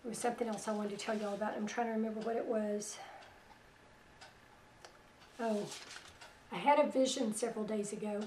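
A middle-aged woman hosting religious internet radio. Her voice is weak after a stroke, and she talks in a soft, plain-spoken, unhurried way. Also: medium pitch, fairly even intonation, echoey room, recorded from a distance, a little hiss or hum, low-quality recording. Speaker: radio host. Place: small room at home, indoors. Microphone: headset.

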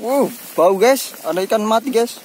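A man's voice: high-pitched, lively intonation, moderate pace, darkish tone, slight background noise. A man talking casually to viewers while fishing along a river bank, calling them guys. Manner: chatty, keeps addressing viewers as guys. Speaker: fishing vlogger. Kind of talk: casual vlog narration.

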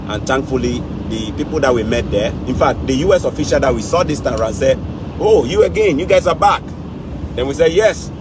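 A middle-aged man tells a story from behind the wheel in a casual, animated way, slipping into other people's voices for the dialogue. His pitch sits in the middle range. The car's road and engine noise runs clearly underneath the whole recording. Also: brisk. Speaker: vlogger. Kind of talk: casual storytelling while driving. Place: car on a snowy highway.

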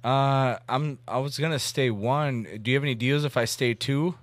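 A man in his thirties hosting a comedy podcast, chatting casually in a rambling, halting way with crude humor. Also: medium pitch, no room sound. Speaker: comedy podcast host making a prank call. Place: indoor room at a desk.

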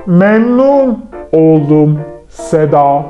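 A woman voicing a man named Ahmet in a stiff textbook dialogue, speaking slowly and formally. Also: sits low, expressive, echoey room, dull audio, faint music behind, voice pushed down, full character voice.

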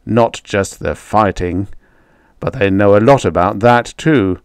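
A man playing a domineering father in a story, harsh, forceful and impatient. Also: on the low side, a little slow, clean tone, no room sound. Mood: stern. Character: stern, angry, domineering father and robot maker.

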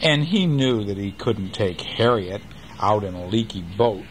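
A man's voice: on the low side, lively intonation, a little slow, slight background noise. Older man reading a bedtime story. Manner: gentle, chatty, asks the child questions.

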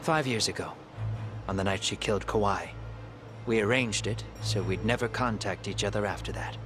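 Actor as a low-voiced, weary, guarded ex-teacher. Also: male voice, normal speed, over music, lightly acted. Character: weary, guarded middle-aged male former teacher on the run. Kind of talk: dramatic video game dub acting.

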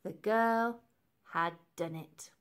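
A woman reading a children's book aloud in an animated way, with dramatic emphasis for young listeners. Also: lowish voice, slow pace, smooth. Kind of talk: expressive storybook narration.

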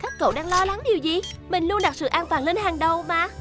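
A woman playing a bright young female inventor in a dubbed children's cartoon, upbeat and reassuring as she explains. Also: very high voice, fast. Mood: proud, upbeat. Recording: loud music behind the voice.

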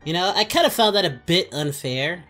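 A woman voicing an excitable cartoon hero hosting a reaction video, with animated, exclamatory casual commentary. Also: fairly low pitch, fairly quick, faint music behind. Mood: mildly indignant. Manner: animated, exclamatory, repeats phrases.

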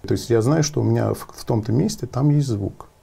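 Middle-aged man, heavy vocal fry, husky, earnest conversational interview answers. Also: mid-range voice, brisk, warm tone. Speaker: sound engineer, interviewee. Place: sound studio, indoors. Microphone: lapel.

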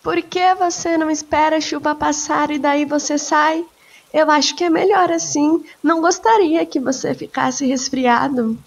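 A woman voicing a patient, wistful mother who speaks softly and poetically. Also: sits high, fairly even intonation, moderate pace, gravelly, voice pushed up. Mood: soothing. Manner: soft, soothing, poetic. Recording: slightly muffled with soft music.

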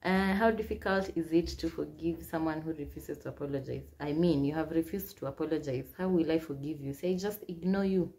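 Low-voiced Kenyan woman chatting casually. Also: steady tone, fairly quick, hardly any pauses, dark tone. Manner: chatty, reads questions aloud then answers, mixes in Swahili phrases. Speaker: YouTuber. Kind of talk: casual Q&A vlog. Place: small indoor room, seated at a plain wall.

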